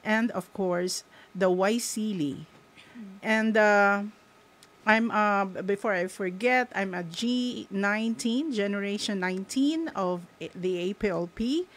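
Slow, halting Filipino woman station manager. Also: mid-range voice. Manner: slow, halting, many fillers. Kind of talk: welcome remarks. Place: campus radio studio.

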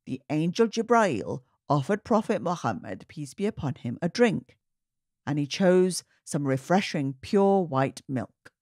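Animated woman reading a children's storybook. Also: low voice, unhurried, no echo, studio quality. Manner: animated, emphatic, clearly paced delivery. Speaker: children's story reader and host. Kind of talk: expressive read-aloud storybook narration for children.

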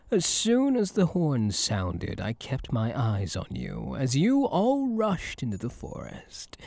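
A smug elf hunter, acted by a man in an intimate ASMR audio roleplay. He is possessive and seductive, speaking slowly and deliberately with teasing swoops in pitch. The sound is dry and close. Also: darkish tone, no echo, full character voice.

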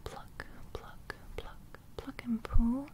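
A young woman playing a gentle sleep fairy in a whispered ASMR roleplay, murmuring breathy, repetitive reassurances close to the microphone. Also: a little high, little pitch movement, drawn-out words. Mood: soothing. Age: young adult. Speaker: ASMR creator. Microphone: close studio microphone.

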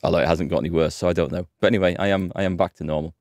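A man's voice: sits low, steady tone, rapid-fire, darkish tone, no echo, studio quality. A man in his thirties with a North Yorkshire accent, chatting casually and thinking aloud while playing a game on a headset mic. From England. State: slightly under the weather with a cold. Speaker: gaming streamer. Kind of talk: casual let's-play commentary. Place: home streaming room, indoors.

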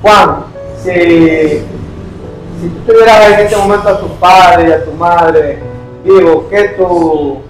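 A man interviewing and gently questioning a guest, often repeating words as he goes. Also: high voice, slow pace. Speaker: interviewer giving money. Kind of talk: scripted charity interview. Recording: echoey room with music behind.

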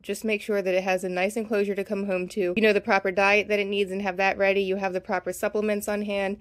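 A woman's voice: medium pitch, flat delivery, fast. A woman narrating an informational hobby vlog as its host, giving practical advice in voice-over.

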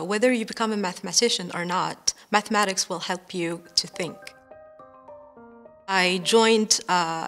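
A young woman from Morocco, a data scientist, speaking earnestly in a seated interview with measured pauses and some "uh"s. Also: mid-range voice, bright, forceful tone. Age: young adult. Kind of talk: corporate interview. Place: bright furnished indoor room.

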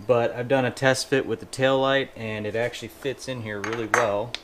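A man with a mid-range voice hosts a casual build video from a small garage. He explains the hands-on work informally, thinking out loud as he goes. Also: medium pitch, little pitch movement, normal speed, very noisy. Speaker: host of a motorcycle build channel. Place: small garage workshop, indoors.

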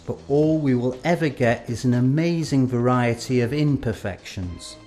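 A middle-aged man presenting scripted instructional narration in a measured way, with deliberate pauses for emphasis. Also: medium pitch, a little mellow. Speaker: presenter of an educational film. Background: background music.

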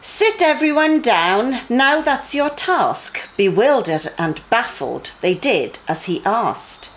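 A middle-aged woman reads a bedtime story for young children. Her pitch rises and falls in a very animated way, while her pace stays slow and measured. She phrases things emphatically, as an expressive storyteller does. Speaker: bedtime story reader for a junior church. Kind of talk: expressive read-aloud of children's Bible stories.

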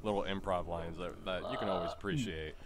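A man's voice: low voice, fairly even intonation, a little fast. A man chatting casually on a panel, rambling and circling back to repeat himself. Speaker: voice actor on a panel. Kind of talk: casual profane chat.

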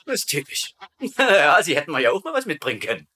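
An actor playing a foolish, chatty, obsequious German camp commandant, babbling comically in a dubbed military sitcom. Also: male voice, fairly high pitch, wildly swooping pitch, fast, bright, forceful tone, heavily acted. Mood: cheeky.

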